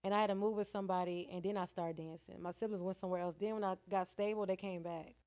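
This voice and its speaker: A woman's voice: fairly low pitch, fairly even intonation, quick pace, a little mellow. A woman of about thirty with a Texas accent, telling stories frankly and colloquially as a guest in a casual interview. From Texas, United States. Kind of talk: candid, casual interview conversation.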